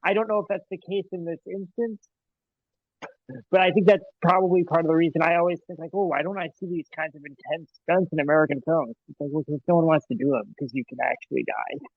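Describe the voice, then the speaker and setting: Co-host, man in his thirties, slightly high, meandering and hedging, muffled dry mic. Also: steady tone, quick pace, husky, dry recording. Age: 30s. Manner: rambling, hedging, laughs often. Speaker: podcast co-host. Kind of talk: casual movie review chat.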